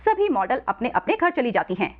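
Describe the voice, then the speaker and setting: A woman's voice: a little high, sing-song, brisk. A woman narrating a scripted children's story as storyteller, on a narrow phone-like recording.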